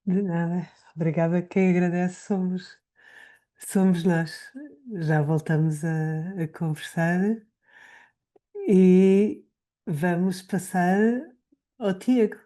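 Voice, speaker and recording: A woman's voice: fairly low pitch, fairly even intonation, slow pace, mellow. A woman from Portugal with a European Portuguese accent, casually moderating a session on a video call.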